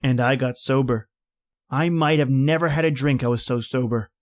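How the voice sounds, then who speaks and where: A man's voice: mid-range voice, moderate pace, natural voice. An actor playing an edgy, short-tempered man at a remote outpost in an old-time radio drama, with terse, reflective delivery. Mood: somber, reflective. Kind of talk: old-time radio drama acting and first-person narration.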